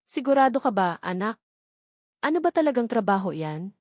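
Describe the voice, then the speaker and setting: A woman narrator voicing a gentle, devout, ailing mother in an audio drama, tender and concerned. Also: a little high, big pitch swings, moderate pace, dry recording, clean studio sound. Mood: worried.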